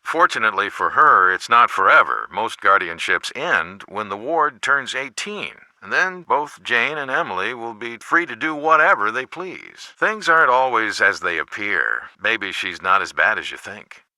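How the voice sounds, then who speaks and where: An actor playing a caring, cautious middle-aged father in a video game, with a measured, advisory manner. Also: on the low side, animated, bright, forceful tone, no echo. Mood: cautioning, surprised. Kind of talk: scripted game voice acting.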